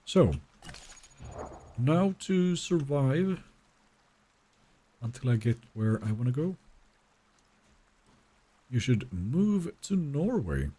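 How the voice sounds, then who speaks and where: A man's voice: mid-range voice, big pitch swings, extremely slow, long pauses, warm tone, breathy edge. A young man whose first language is Finnish, casually chatting with viewers and reading their messages during a live game stream from a home setup. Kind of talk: live gameplay stream, reading chat aloud.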